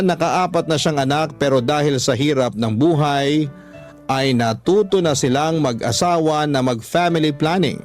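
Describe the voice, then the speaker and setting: Man narrating a confessional letter. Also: a little high. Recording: faint music bed behind voice.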